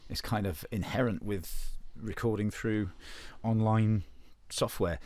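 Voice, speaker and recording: A man's voice: on the low side, steady tone, unhurried, darkish tone, no echo. A man chatting casually about the production, matey and joking, with many restarts. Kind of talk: casual chat.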